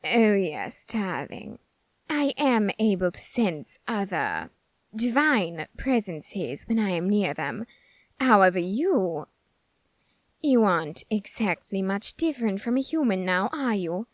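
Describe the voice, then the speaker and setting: Casting: female actor as haughty, flamboyant otherworldly diva for ASMR roleplay; slow, theatrical, sarcastic drawl, very animated intonation. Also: medium pitch, dry recording. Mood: intrigued, condescending. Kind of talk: scripted ASMR character roleplay monologue.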